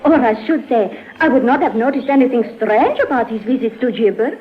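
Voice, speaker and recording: An actress playing a gentle, naive young Panamanian girl, speaking earnestly and carefully in a radio drama. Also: fairly high pitch, lively intonation, brisk, natural voice. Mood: earnest explaining. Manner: earnest, carefully phrased, unworldly. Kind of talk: 1950s radio drama acting. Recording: tinny, band-limited vintage radio recording.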